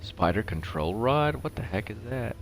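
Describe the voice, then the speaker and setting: A man's voice: on the low side, big pitch swings, normal speed, a little hiss or hum. A middle-aged man giving casual commentary as he plays a video game, rambling and talking to himself. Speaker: gaming video host.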